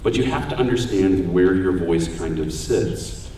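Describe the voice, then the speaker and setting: A man with a deep voice giving a casual, joking talk about voice acting on a convention panel. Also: a little slow, dark tone, slight background noise. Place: large convention hall room. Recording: echoey hall, voice far from mic.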